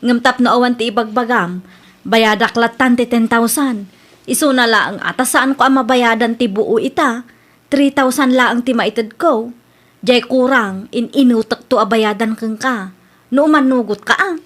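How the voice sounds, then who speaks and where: A woman's voice: fairly high pitch, unhurried, fairly bright tone. A woman playing an eager, persuasive housewife in a radio comedy drama, with a coaxing, conversational manner.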